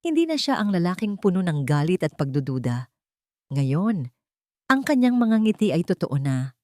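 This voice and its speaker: A woman narrating a story expressively and sentimentally, in short measured phrases. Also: on the low side, big pitch swings, a little mellow, dry recording, studio quality. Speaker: audiobook narrator.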